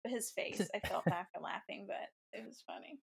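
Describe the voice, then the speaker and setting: A young woman co-hosting a casual podcast, with a high, girlish voice, telling stories with lots of laughter. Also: fairly quick, vocal fry, slightly rough. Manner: giggly, animated storyteller. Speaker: podcast co-host. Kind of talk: casual chat.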